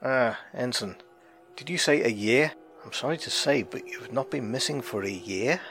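Sci-fi drama: man as measured male starship commander, tactful authority, medium pitch, scored with music. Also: lively intonation, a bit bright. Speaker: captain. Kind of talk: sci-fi audio drama acting.

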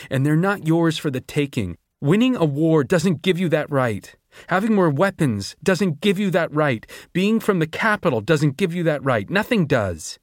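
A man's voice: fairly high pitch, no room sound, clean studio sound, voice pushed up. A man voicing a defiant, idealistic young man who speaks in a strained, heated way. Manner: strained, heated, spitting words out.

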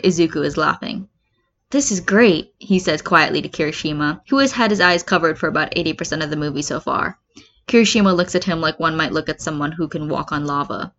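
A woman's voice: on the low side, a bit bright. A woman reading a comedic story as scripted narration, acting out the quoted remarks, on a lo-fi home recording. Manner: acts out comments and quotes. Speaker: fan fiction reader.

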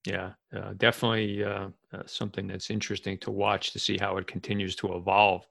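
A man hosting an interview, asking casual questions in a hesitant, halting way. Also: deep voice, level tone, vocal fry, a bit bright, no room sound, clean studio sound. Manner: hesitant, halting phrasing with many filler phrases. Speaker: podcast host, interviewer.

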